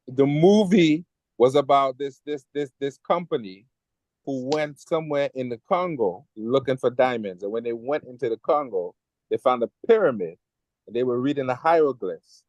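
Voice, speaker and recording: A man from the United States in casual group chat, telling stories in an animated way. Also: medium pitch, moderate pace, dry recording, lo-fi sound. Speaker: panelist.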